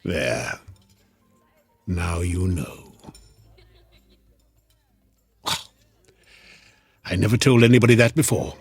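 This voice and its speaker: An actor playing a sardonic, chatty devil in an audio drama, theatrical and mocking, with a husky voice. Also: male voice, deep voice, very animated, unhurried, no echo, faint music behind, full character voice. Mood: guarded, sardonic. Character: sardonic, wheedling male devil, casual and mocking.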